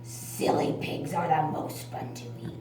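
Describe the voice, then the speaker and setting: A woman voicing a hungry, menacing big bad wolf for a children's puppet show, with theatrical drawn-out threats and a gravelly growl. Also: dark tone, natural voice. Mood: gloating, sly. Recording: echoey room, far from mic, faint noise.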